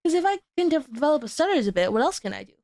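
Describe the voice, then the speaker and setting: A woman's voice: high-pitched, very animated, very fast, dark tone, slight background noise. A young woman chatting casually on her stream, rambling and laughing at herself, with a stutter and many restarts. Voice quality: stutters, with frequent repeats and restarts. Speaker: VTuber streamer hosting a guest. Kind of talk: casual chat.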